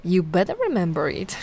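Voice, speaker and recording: A woman voicing a giant, sweet and curious young mermaid in an ASMR roleplay, gushing and doting in a gentle, cooing way. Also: lowish voice, vocal fry, heavily acted. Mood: playful, sweet. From Italy. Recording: noticeable ambient background noise.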